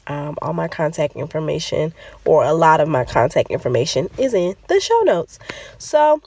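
A woman's voice: sits low, big pitch swings, moderate pace, a little mellow, slightly rough, dry recording. A woman with a stuffy nose from a cold, hosting a solo motivational podcast in a chatty, direct way that talks straight to her listeners. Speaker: podcast host.

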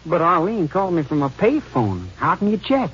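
A nervous young man under suspicion in a 1950s radio play. His pitch sits a bit high and swings widely as he answers defensively. The broadcast is muffled, with a clear hiss. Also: big pitch swings, normal speed, a bit bright. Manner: jumpy and defensive. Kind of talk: 1950s radio drama acting.

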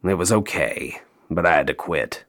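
An actor playing a jaded, sardonic male elf toymaker in an audio play, deadpan, dry and downbeat. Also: low voice, moderate pace. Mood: wry, resigned.

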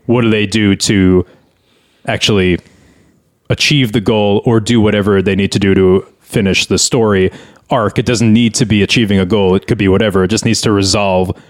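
A man's voice: fairly low pitch, steady tone, moderate pace. Man bantering and explaining on a podcast. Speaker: podcast co-host. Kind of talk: casual banter and script critique.

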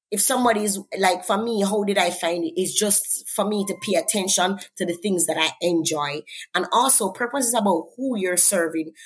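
Jamaican woman, interview guest, husky tone, earnest, preacherly, faith talk. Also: mid-range voice, fairly bright tone. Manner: earnest, preacherly, asks "right?" often. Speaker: guest, author and faith coach.

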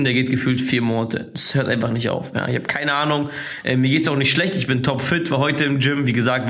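A man's voice: mid-range voice, fairly bright tone. A young man hosting his own podcast, talking loosely in slang with many fillers and restarts, still coughing a little after a cold. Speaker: podcast host. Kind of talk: casual solo podcast monologue.

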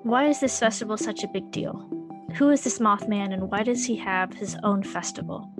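A woman's voice: mid-range voice, normal speed. A woman narrating a scripted audio documentary in a wry, conversational storytelling manner. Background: music underscore.

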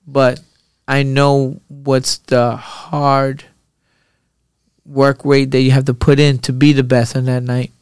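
A man hosting a podcast, telling rambling, profane stories in an animated way. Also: mid-range voice, slow pace, smooth, no room sound, clean studio sound. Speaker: podcast host and boxer. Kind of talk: casual podcast chat.

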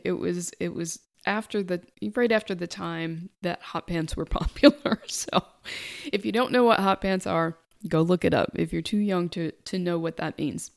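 A middle-aged woman with a soft, quiet speaking voice, reflecting on her own life in a halting, thoughtful way. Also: fairly low pitch, creaky. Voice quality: soft-spoken. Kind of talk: personal reflective monologue.

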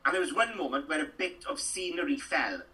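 A man's voice: on the high side, lively intonation, a little fast, bright, forceful tone, recorded from a distance, poor audio. A middle-aged Welsh man from Wales, an actor chatting animatedly in an interview and rambling through stories with lots of restarts. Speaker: actor and guest.

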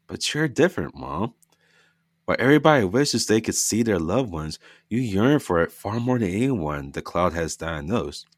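A man's voice: lowish voice, sing-song, normal speed, dry recording, clean studio sound, voice pushed up. A man voicing an earnest, eager adult daughter living in a virtual cloud.

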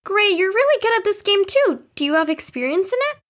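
A woman's voice: very high voice, very animated, brisk, fairly bright tone, exaggerated voice. A woman playing a prim, inquisitive overseer in an audio drama. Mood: sweetly curious.

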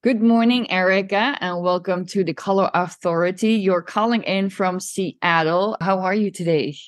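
A woman hosting a podcast interview, reading a measured introduction and then asking relaxed questions. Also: lowish voice. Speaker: podcast host. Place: Milan studio or home.

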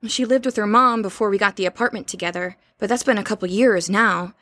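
A woman's voice: mid-range voice, brisk, fairly bright tone, no echo, close to an everyday voice. An actress playing an anxious, insistent young woman with an urgent, direct manner in an audio drama. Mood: earnest. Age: young adult. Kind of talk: naturalistic audio drama acting.

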